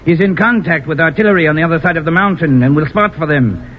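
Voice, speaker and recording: An actor playing a smooth, scheming village leader in a formal, measured, theatrical old-time radio drama style. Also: male voice, fairly high pitch, lightly acted. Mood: smug, menacing. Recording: muffled old radio recording, faint noise.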